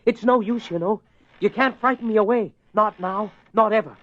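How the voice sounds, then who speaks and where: A man's voice: high-pitched, moderate pace, a bit bright. A man playing a desperate husband in a horror radio play, intense and imploring as he confronts a spirit. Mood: firm, challenging. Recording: muffled lo-fi radio drama recording.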